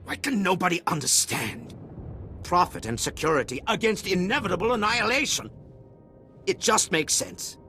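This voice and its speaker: A greedy, defensive official. His voice is fairly high, sharp and lively, indignantly justifying himself in a video game cutscene. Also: moderate pace, soft background music, full character voice.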